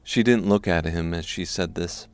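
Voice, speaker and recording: A man narrating a scripted dark fantasy audiobook. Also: low voice, moderate pace, clean tone.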